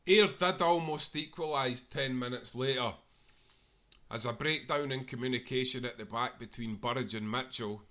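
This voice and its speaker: Bright-voiced Scottish man reading a story. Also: mid-range voice. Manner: earnest reading with small self-corrections. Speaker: narrator. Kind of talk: read-aloud football history narration.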